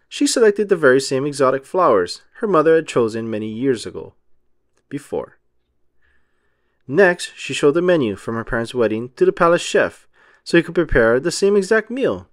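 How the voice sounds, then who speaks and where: A man reading a children's storybook aloud in an expressive, emphatic storytelling manner. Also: medium pitch, no echo, clean studio sound. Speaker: children's book read-aloud narrator. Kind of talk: expressive scripted storybook narration.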